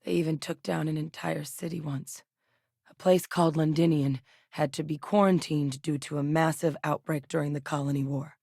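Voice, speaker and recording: A brisk, dry military scientist. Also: female voice, low-pitched, fairly even intonation, vocal fry, mellow, slightly rough, no echo, heavily acted. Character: tough, no-nonsense female military scientist. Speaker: game character voice lines. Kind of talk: video game dialogue.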